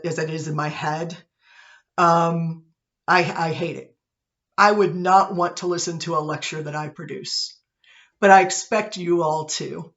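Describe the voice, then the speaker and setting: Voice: mid-range voice, a bit bright, lo-fi sound. A college communication instructor giving a recorded online lecture from an office, chatty and self-deprecating, often checking in with "right?". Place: own office, indoors. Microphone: computer webcam set above eye level.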